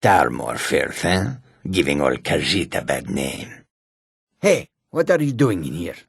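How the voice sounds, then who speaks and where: A proud, sly feline mage from a fantasy video game. The voice is deep and very raspy. The phrasing is formal and measured, and the recording is dry and close. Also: male voice, big pitch swings, dry recording, full character voice. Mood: menacing. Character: proud, sly male cat-person mage, speaks in third person. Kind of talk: video game character dialogue.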